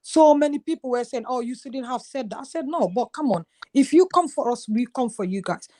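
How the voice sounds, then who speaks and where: Passionate Gambian woman, higher voice. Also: expressive, a little fast, warm tone. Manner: passionate, emphatic storytelling, quotes herself often. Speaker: YouTuber on a group call. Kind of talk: casual group discussion.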